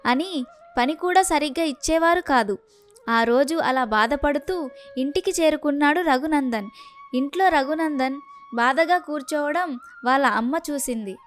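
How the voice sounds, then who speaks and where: A woman's voice: high voice, normal speed, faint music behind. A woman narrating a scripted children's story.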